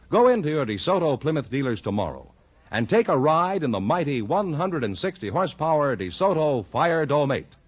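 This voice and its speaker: An American man announcing on an old radio broadcast in a polished, emphatic salesman's style. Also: fairly high pitch. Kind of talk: 1950s radio commercial and announcing. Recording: muffled 1950s radio broadcast recording.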